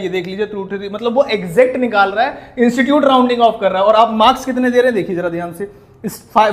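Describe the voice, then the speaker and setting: An Indian man teaching in an explanatory commentary style, scolding and indignant, with emphatic rhetorical questions. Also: sits high. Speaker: accounting teacher. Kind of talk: explanatory video commentary on exam answer sheets.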